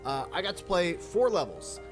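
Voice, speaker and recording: Higher-voiced man giving relaxed game impressions. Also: moderate pace, music in the background. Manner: relaxed, conversational, with frequent uh fillers. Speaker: games journalist. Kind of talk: casual gameplay impressions feature.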